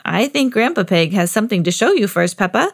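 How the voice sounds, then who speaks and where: A woman's voice: mid-range voice, big pitch swings, a little fast, gravelly, no room sound, clean studio sound. A woman voicing an affectionate, welcoming old grandmother pig in a children's storybook. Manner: affectionate, inviting.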